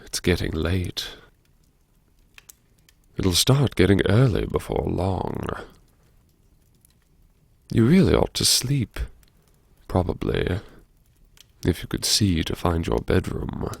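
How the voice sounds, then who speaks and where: A man reads dramatic first-person fiction in an unhurried, deliberate way. His voice is creaky and wry, with a brooding manner, and it is recorded very dry and close. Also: sits low, sing-song, no room sound. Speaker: audiobook narrator.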